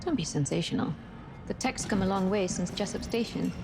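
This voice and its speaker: An actress playing a no-nonsense spaceship engineer in a game, speaking in a formal, matter-of-fact manner. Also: female voice, medium pitch, brisk, natural voice. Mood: dry, brisk. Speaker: ship's engineer. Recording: long hall reverb, faint music behind.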